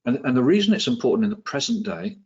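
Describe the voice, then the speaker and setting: A middle-aged man from England, his accent softened by years in New Zealand, giving an online talk as a psychiatrist, with many ums, restarts and dry asides. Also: a little high, animated, fast talker, creaky, highs cut off. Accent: English, with some New Zealand influence. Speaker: psychiatrist and presenter. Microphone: video call.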